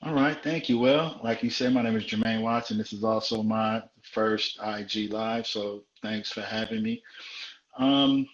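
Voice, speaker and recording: A man in his forties from South Central Los Angeles tells his life story frankly, stacking repeated phrases for emphasis. His voice sits fairly low. The sound is dull and roomy, like a live stream picked up in an echoing space. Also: unhurried. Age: 40s. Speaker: guest.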